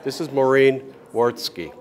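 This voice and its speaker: A man's voice: medium pitch, a little slow. An older man interviewing a guest in a casual, folksy and complimentary way.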